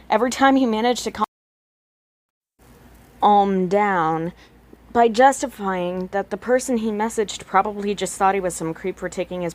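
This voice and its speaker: A woman's voice: mid-range voice, a little mellow. A woman narrating a story as an amateur audiobook reader, working from a written script. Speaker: podfic reader.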